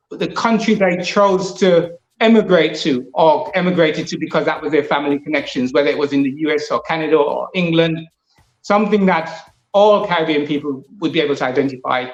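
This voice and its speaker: A man's voice: fairly high pitch, reverberant, muffled recording. A man raised partly in Jamaica, a guest on an interview show, explaining at length and stumbling over the first words of his answers. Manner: halting, repeats words as he starts answers.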